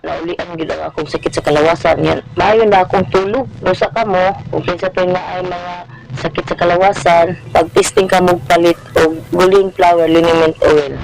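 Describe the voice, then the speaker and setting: Gravelly-voiced woman in a radio testimonial. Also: on the low side, fairly even intonation, moderate pace, poor audio. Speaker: customer giving testimonial.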